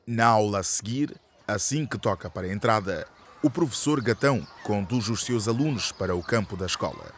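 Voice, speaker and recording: A man delivers scripted narration for a radio drama. His voice is on the low side, with faint noise in the background. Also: expressive, fairly quick.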